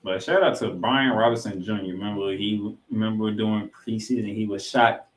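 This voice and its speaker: A man's voice: fairly low pitch, steady tone, moderate pace. A man co-hosting a sports podcast, giving football recaps with emphatic, announcer-like delivery of scores and stats mixed with casual banter.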